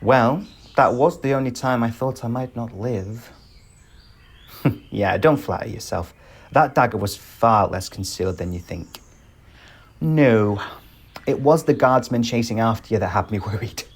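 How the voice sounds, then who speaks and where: A man's voice: medium pitch, a little slow, long pauses, slightly rough. A man playing a sarcastic, teasing rogue bandit protector in a flirtatious ASMR roleplay, exasperated but fond. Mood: bantering, fond.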